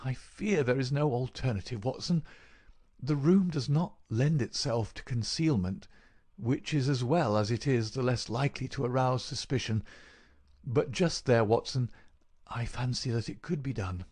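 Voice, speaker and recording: A man voicing an ailing male detective in an audiobook, feeble but lucid, crisp and masterful. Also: medium pitch, normal speed, a little mellow, pitched above the performer's own voice, close to an everyday voice. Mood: urgent. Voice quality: feeble, slightly raised. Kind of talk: audiobook character voice.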